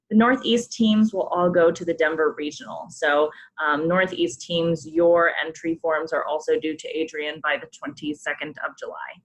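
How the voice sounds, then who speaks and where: A woman's voice: lowish voice, low-quality recording. A woman from a sports program staff, leading a coach conference call and walking through forms with many filler ums. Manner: friendly, frequent ums, polite reminders. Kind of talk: instructional briefing with screen walkthrough.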